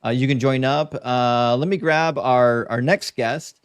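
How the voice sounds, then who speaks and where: A man's voice: medium pitch, measured pace, smooth, no room sound, studio quality. A man moderating a live online debate as host, firm and direct with his questions.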